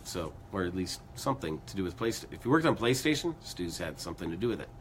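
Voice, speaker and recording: A man co-hosting a music show in casual, rambling chat, a bit hoarse from losing his voice. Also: sits low, sing-song, quick pace, slight background noise. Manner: rambling, upbeat, lots of fillers. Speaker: co-host of a music news show.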